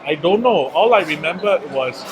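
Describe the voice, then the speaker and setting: A man from Singapore with a Singaporean English accent, interviewing a young poet in a chatty, animated way. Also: fairly high pitch, moderate pace, a crowd in the background, poor audio. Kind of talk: casual interview chat.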